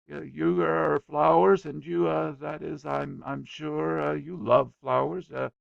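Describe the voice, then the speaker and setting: A man's voice: fairly high pitch, flat delivery, gravelly, no echo, muffled recording, voice pushed up. A man voicing a bashful, lovesick young man who stammers and halts. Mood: flustered stammering. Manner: stammering, halting with fillers.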